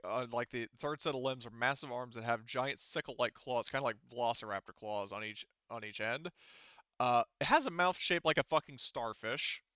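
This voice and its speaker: A man's voice: medium pitch, bright, forceful tone, clean tone, no room sound, lo-fi sound. A man running a tabletop roleplaying game, describing scenes and explaining rules in an informal, sweary, conversational way. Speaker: game master. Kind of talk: narrating and refereeing a tabletop roleplaying game, casual.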